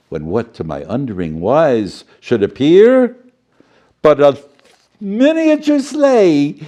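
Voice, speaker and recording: A man's voice: mid-range voice, mellow. A man playing a jolly, fussy professor storyteller who reads a children's storybook theatrically, with chatty asides and muddled words.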